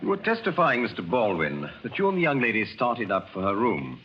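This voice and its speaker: An actor playing a formal, probing courtroom prosecutor in a 1940s radio drama. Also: male voice, on the low side, sing-song, brisk, loud music behind the voice. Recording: muffled old radio broadcast.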